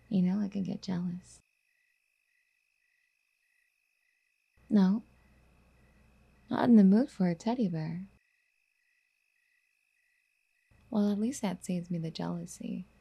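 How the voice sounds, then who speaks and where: A woman playing a doting, possessive rich girlfriend in an ASMR roleplay, soft-spoken and coaxing, often slipping into whispers. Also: mid-range voice, unhurried, vocal fry, long pauses, slightly rough. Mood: possessive, sly.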